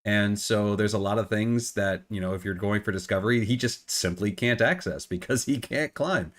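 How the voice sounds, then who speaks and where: A man's voice: on the low side, moderate pace. A middle-aged man with a cold and a phlegmy voice, co-hosting a casual gaming podcast and often repeating words as he restarts. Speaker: podcast co-host.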